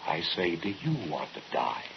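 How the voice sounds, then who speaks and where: A man's voice: low voice, huge pitch swings, moderate pace, creaky, slight background noise, full character voice. An American man playing a grave, persuasive brother in an old-time radio drama, insistent, pointed and probing. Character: persuasive, grave brother confronting a dangerous scientist. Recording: muffled vintage radio recording with music.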